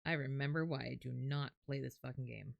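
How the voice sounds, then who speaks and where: A woman's voice: extremely low pitch, steady tone, brisk, gravelly, no room sound, dull audio. A woman livestreamer giving casual, blunt and reactive commentary while she plays a game. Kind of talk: casual gaming stream commentary. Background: music.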